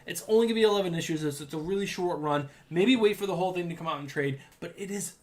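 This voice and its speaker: A man's voice: sits high, fast talker. Rambling New England man, casual. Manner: enthusiastic, rambling with restarts and fillers. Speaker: podcast co-host. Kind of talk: casual chat.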